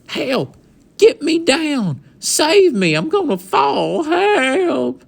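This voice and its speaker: A man's voice: mid-range voice, big pitch swings, a little slow. A man voicing a tiny, self-pitying cowboy with a twangy drawl. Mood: panicked.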